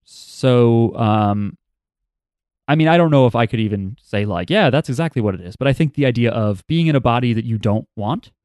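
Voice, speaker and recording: A man's voice: mid-range voice, animated, moderate pace, darkish tone, smooth, no echo, clean studio sound. A man co-hosting a casual chat, rambling and thinking aloud with plenty of fillers. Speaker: podcast co-host.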